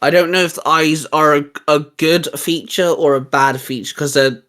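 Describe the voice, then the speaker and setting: A man's voice: on the high side, steady tone, moderate pace, sharp tone. A man co-hosting a casual geek chat, talking in a hesitant, rambling, informal way with bits of slang. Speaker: co-host of a geek culture show. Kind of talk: casual chat, reviewing video game designs.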